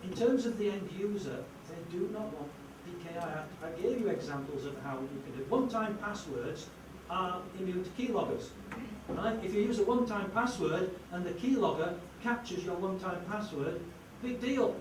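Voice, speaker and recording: A man's voice: high voice, lively intonation, moderate pace, few pauses. A man lecturing on security, emphatic, with punchy rhetorical questions. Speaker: conference speaker on security. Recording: echoey hall, distant mic, noticeable noise.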